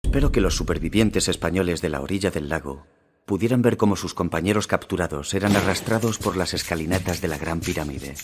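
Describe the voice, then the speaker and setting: An actor playing a solemn Aztec emperor in a game cutscene, telling of war in grave, measured Castilian Spanish. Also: male voice, low-pitched, a little hiss or hum, natural voice. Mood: grave, proud. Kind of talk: scripted dramatic game cutscene narration.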